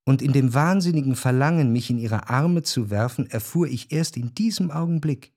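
An actor playing a reflective, grieving narrator in a literary radio drama, with measured, introspective and deliberate phrasing. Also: male voice, medium pitch, a little mellow, lightly acted. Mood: sorrowful, reflective. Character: reflective, grieving male narrator remembering his grandmother.

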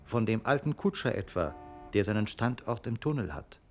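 Male actor as pondering narrator, low voice, reflective, questioning phrasing, radio drama. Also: animated, normal speed, faint music behind, lightly acted. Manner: musing, rhetorical questioning. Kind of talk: German radio play narration.

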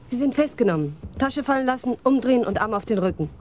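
An actress playing a brisk, plain-spoken police officer in a radio crime drama. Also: female voice, on the high side, lively intonation, darkish tone, a little hiss or hum, lightly acted. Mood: commanding.

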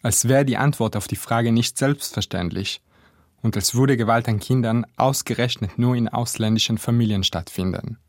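A man's voice: mid-range voice, moderate pace, a little mellow, dry recording. Dry, close-sounding male essay narrator from Israel. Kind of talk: scripted first-person essay narration. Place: dry studio.

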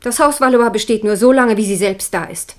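A woman's voice: on the high side, fairly quick, lightly acted. An actress playing a proud, passionate Protestant queen and mother in a 1970s radio drama, indignant and fervent.

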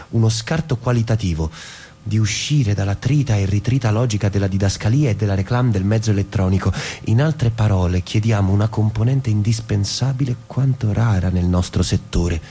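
Pompous declamatory company executive. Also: male voice, on the low side, dark tone, breathy edge. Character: pompous company executive dictating a flowery business letter. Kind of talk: theatrical radio drama, formal letter reading.

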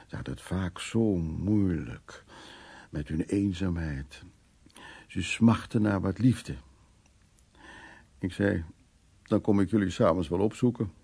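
A low, very gravelly man tells of his past in a radio drama monologue. He is cynical and worldly, wry and coarse, with a dark, raspy tone. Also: exaggerated voice. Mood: coarse, mocking.

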